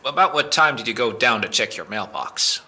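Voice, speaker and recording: Man as stern cop with sharp, animated voice. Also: medium pitch, moderate pace. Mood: controlled, businesslike. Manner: curt, businesslike, probing. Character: stern, no-nonsense male police officer interrogating a suspect. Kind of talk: audio drama acting.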